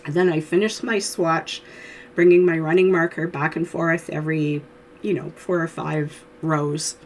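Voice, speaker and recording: A woman with a hoarse voice from a cold, teaching knitting in a chatty, friendly how-to tutorial, with little laughs. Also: deep voice, steady tone, a little slow, darkish tone, slight background noise.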